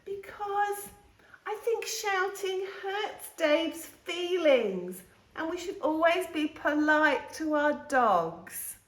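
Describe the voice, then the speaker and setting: A woman voicing an earnest young storybook girl who speaks up plainly for her gentle dog. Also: extremely high pitch, lively intonation, slow, pitched above the performer's own voice, heavily acted. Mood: timid, earnest. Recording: roomy, echoing room sound.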